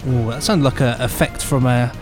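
A man's voice: mid-range voice, moderate pace, faint music behind. A man co-hosting an audio drama podcast in casual, jokey chat. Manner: jokey, lively, trailing off into mumbles. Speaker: podcast co-host.

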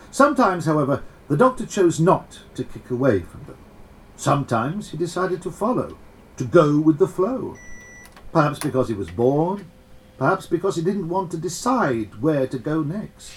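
A man reading a science fiction adventure as a measured, wry storyteller, with deliberate, expressive phrasing. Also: fairly high pitch, slight background noise. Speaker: audiobook reader. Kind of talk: dramatic scripted narration of a science fiction story.

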